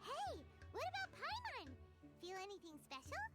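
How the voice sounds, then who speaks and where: A woman's voice: extremely high pitch, huge pitch swings, normal speed, heavily acted. A woman voicing a tiny, indignant girlish fairy guide in a video game, full of exclamations. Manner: exclaiming, refers to self by name. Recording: game music behind the voice.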